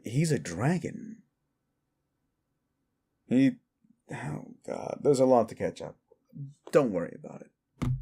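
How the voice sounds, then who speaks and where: A man's voice: fairly low pitch, a little mellow, exaggerated voice. A man voicing an easygoing, wry and knowing bartender in an audio drama. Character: easygoing, wry male bartender of monster sanctuary bar. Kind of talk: amateur audio drama dialogue.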